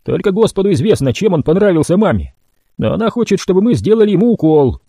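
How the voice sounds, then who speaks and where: A cold young male mutant voiced by a male narrator who gives short, flat orders. The voice is raised above the reader's own and stays firm. The tone remains dark and husky, recorded dry. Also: high voice, quick pace, dry recording, pitched above the performer's own voice, full character voice. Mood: curt.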